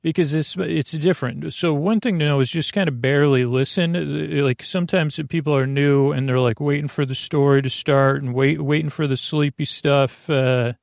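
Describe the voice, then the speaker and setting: A man with a creaky voice hosting a podcast, delivering a meandering bedtime monologue with halting, repeated words and tangents. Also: on the high side, fairly even intonation, no echo, studio quality. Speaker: podcast host.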